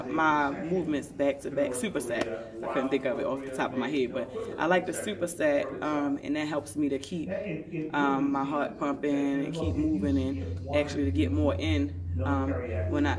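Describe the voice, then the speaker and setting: A woman in her thirties, a trucker, chatting casually to her phone camera with lots of fillers, first in a gym and then sitting in a car. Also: a bass voice. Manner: rambling, relaxed, many fillers. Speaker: trucker vlogger. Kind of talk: casual vlog talk to camera. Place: large gym indoors; inside a parked car. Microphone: phone camera at arm's length.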